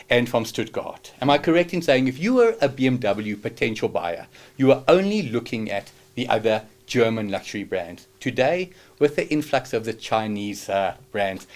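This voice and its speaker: A man in his fifties hosts a motoring interview in a studio. He speaks emphatically at a middle pitch, building his points with rhetorical questions. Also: animated, normal speed. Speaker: podcast host.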